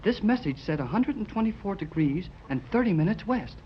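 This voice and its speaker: An eager boy sidekick in a 1930s radio serial. His voice is high and swings up and down with slangy, curious enthusiasm. The vintage recording is dull. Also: lively intonation, a little fast, a little hiss or hum. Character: eager, inquisitive teenage boy radio helper.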